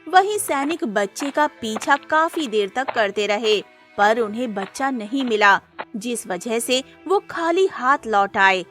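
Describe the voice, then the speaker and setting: A woman tells a scripted moral tale in a fairly high voice. Her pace is very unhurried, and music plays faintly behind the narration. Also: fairly bright tone. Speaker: storyteller.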